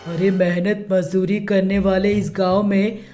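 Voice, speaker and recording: A woman's voice: medium pitch, level tone, a little slow, dark tone, pitched below the performer's own voice, lightly acted. Woman putting on a pompous village headman. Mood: outraged. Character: pompous, domineering male village headman in a storybook tale. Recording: music bed under the voice.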